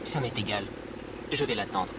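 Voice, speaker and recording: A woman's voice: a bass voice, moderate pace, very noisy. A woman playing a stubborn, literal-minded droid in an audio drama. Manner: flat-worded, obstinate, literal. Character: glum, stubborn old droid fixed on its programme.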